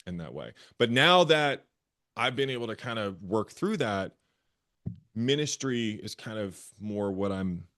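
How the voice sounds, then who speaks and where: Low-voiced man telling his story earnestly. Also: lively intonation, measured pace, a bit bright. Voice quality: bass voice. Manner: earnest, repeats phrases while searching for words. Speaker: therapist and guest. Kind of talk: candid personal storytelling.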